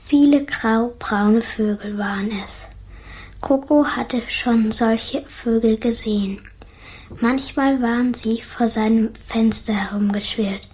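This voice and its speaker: An actress playing a young girl reading a letter to herself in a breathy, hushed whisper and musing on it, in a radio play. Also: a little high, slow. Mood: absorbed.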